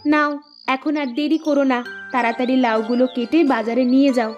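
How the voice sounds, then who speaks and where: A woman voicing a caring, hopeful village housewife in a cartoon story, expressive and coaxing. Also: high voice, brisk, dull audio, faint music behind, natural voice.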